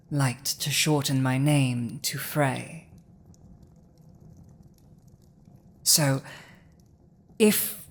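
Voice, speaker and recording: A proud, villainous female dragon played by a woman speaks in a fairly low voice at a very slow pace. The delivery is languid and theatrical, full of dramatic pauses. She sounds haughty, dominant and teasing, in the manner of an intimate fantasy audio drama. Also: exaggerated voice. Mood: tender, hesitant.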